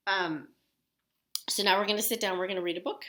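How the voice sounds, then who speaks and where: A woman's voice: mid-range voice, steady tone, brisk, heavy background noise. A woman teacher casually leading a children's read-aloud lesson, explaining and checking in with questions. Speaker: elementary school teacher.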